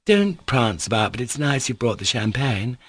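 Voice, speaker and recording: A man reading an audiobook voices a sharp, teasing young woman who talks reproachfully and insistently. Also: medium pitch, very animated, moderate pace, slightly rough, no echo, pitched above the performer's own voice.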